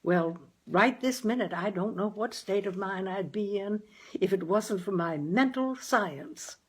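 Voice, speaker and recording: A woman's voice: medium pitch, measured pace, breathy edge. A woman voicing a chatty, earnest, homespun housewife and mother in a storybook, folksy and given to exclaiming. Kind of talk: dramatized audiobook character.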